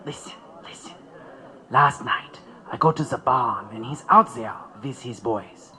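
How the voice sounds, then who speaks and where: A man's voice: medium pitch, unhurried, bright, forceful tone. A man acting in an audio drama as a worried German immigrant radical, speaking in an anxious, hesitant way. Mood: fearful, hesitant.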